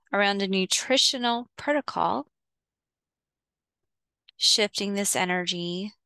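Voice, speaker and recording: A woman guides a visualization at a slow, unhurried pace. Her voice is smooth and clear, at a medium pitch. She gives soothing instructions in short phrases with pauses between them. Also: sharp tone, dry recording. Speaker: guided meditation host. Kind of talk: guided visualization, meditation narration.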